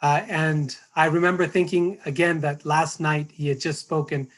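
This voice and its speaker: A hoarse middle-aged American man giving a spiritual talk, hesitant, with many fillers and restarts. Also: fairly high pitch, steady tone, normal speed. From United States. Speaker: guest speaker.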